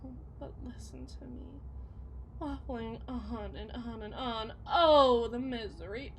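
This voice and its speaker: Woman acting a high-voiced, bitter, melodramatic unhappy wife. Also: lively intonation, noisy background. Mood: despairing, self-reproachful. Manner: theatrical, rambling, halting lament. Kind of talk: ASMR roleplay acting.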